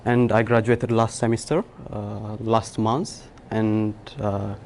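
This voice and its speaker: Halting Ethiopian man giving a lecture. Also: on the low side, little pitch movement, darkish tone, slight background noise. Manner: halting, many filler uhs and restarts. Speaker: guest lecturer. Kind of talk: public lecture to an audience. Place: lecture hall.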